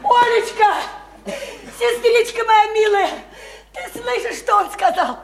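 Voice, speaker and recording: A woman's voice: very high-pitched, slow, vocal fry, full character voice. An actress in a radio play voicing an overwrought provincial woman desperate to escape, gushing and repeating herself in colloquial speech. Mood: ecstatic hysteria. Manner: gushing, repetitive, colloquial, hysterically elated. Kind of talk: theatrical Russian radio play acting. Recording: roomy, echoing stage-like radio play recording.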